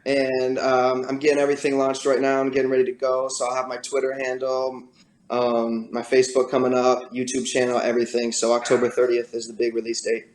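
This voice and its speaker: A man with a raspy voice, a rapper and singer, talking casually in an interview with many fillers and restarts. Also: medium pitch, monotone, brisk, darkish tone, roomy sound, poor audio.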